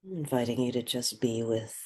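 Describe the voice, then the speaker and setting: A person guiding a meditation in a soft, breathy voice, speaking gently and soothingly with unhurried pauses. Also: medium pitch. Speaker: meditation guide. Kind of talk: guided meditation.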